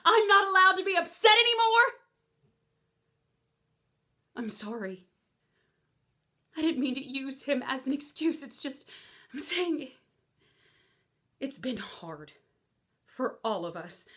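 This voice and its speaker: A woman's voice: high-pitched, sing-song, slow, long pauses, pushed and bright, full character voice. A woman playing a hurt young woman who argues defensively in a dramatic scene. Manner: defensive, then apologetic.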